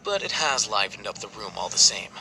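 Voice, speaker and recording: An actor playing an aloof young scholar who talks in a dry, detached and wordy way. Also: male voice, fairly low pitch, sing-song, brisk, gravelly, rough recording. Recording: game voice line over score.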